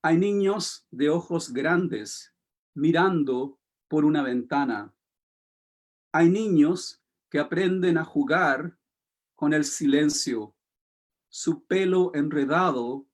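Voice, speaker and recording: A man with a Spanish accent, a poet and interview guest, speaking slowly and hesitantly with many pauses and fillers, and reading poems aloud. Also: on the high side. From Chile. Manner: slow, hesitant, many fillers, soft-spoken.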